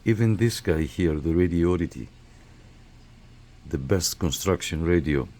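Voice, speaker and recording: Low, mellow-voiced man talking radios. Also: lively intonation, unhurried. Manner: chatty, rambling with many fillers. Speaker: radio hobby channel host. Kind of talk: casual show-and-tell.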